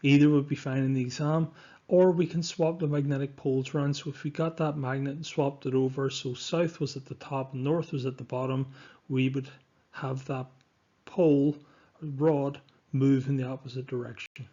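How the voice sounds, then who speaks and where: A man teaches science over slides in a fairly low voice. He is instructive and deliberate, leaning on key words for emphasis. The recording is a little dull and lo-fi. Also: fairly even intonation, mellow. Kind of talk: scripted lesson narration over slides.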